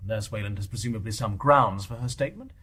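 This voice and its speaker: Quick-talking British gentleman. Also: fairly low pitch, breathy edge. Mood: brisk. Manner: brisk, direct. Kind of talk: British stage-play radio acting.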